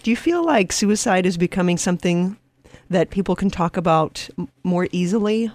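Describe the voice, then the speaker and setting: A woman's voice: lowish voice, expressive, normal speed, darkish tone, no room sound. Female radio co-host, conversational interviewer, dry close studio sound.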